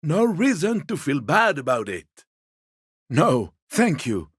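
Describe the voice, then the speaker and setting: A man voicing a genteel, fussy old man in a video game, a husky voice and a polite, wheedling, quietly petty manner. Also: on the high side, very animated, slow pace, no echo, studio quality, full character voice. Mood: modest, chatty. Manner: polite, wheedling, theatrically indignant. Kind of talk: video game character dialogue.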